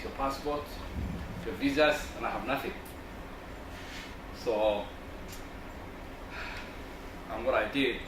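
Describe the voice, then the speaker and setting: A man from Zambia telling a personal anecdote to a group in measured, short phrases. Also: lowish voice. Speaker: workshop participant. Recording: distant, echoey room with noise.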